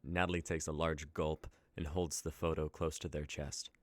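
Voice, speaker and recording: A man's voice: extremely low pitch, level tone, normal speed, dry recording, clean studio sound. A young man reading scripted story narration and stage directions as the narrator of a dramatic reading. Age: young adult.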